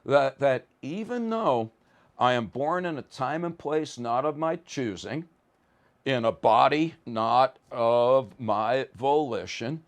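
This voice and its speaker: An American man from the Bronx, a professor giving an informal lecture in an emphatic, wry, colloquial way. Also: medium pitch. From the Bronx, New York, USA. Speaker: psychology professor.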